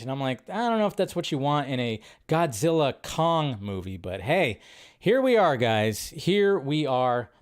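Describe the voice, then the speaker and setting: A man hosting a casual live show, rambling through a reaction with frequent restarts and laughs. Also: on the high side. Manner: rambling, restarts a lot, laughs at his own jokes. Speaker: host.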